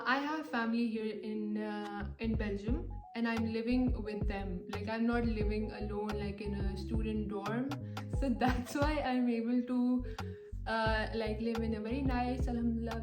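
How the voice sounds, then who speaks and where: A young woman from Pakistan in her twenties chats through a casual question-and-answer video, filling her sentences with "like" and "um". Her voice sits a little high and rings in a roomy home space. Loud music plays behind her. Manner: chatty, many fillers like "like" and "um". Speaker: vlogger. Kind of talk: casual Q&A chat to camera.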